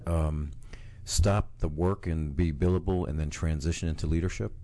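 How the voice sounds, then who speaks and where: A man's voice: rumbling low, fairly even intonation, normal speed, mellow. A man interviewing a business owner, asking direct, probing questions.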